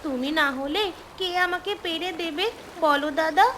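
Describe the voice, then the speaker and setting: A woman's voice: very high-pitched, normal speed, a bit bright, slight background noise. A woman voicing a little girl in a cartoon, a doting younger sister with a childlike, insistent, melodramatic way of pleading. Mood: coaxing.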